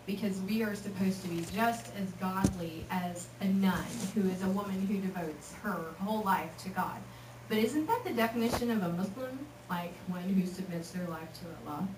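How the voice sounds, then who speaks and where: Lively woman from Texas addressing teens, distant mic in a noisy, echoey hall. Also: medium pitch, normal speed. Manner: lively, joking, acts out voices. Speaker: youth speaker. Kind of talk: casual talk to a youth group.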